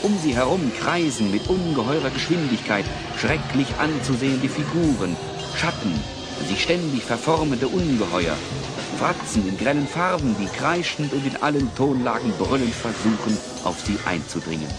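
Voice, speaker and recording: A man's voice: medium pitch, animated, normal speed. A man giving dramatic scripted narration as the narrator of a German children's audio drama. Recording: loud music and effects bed.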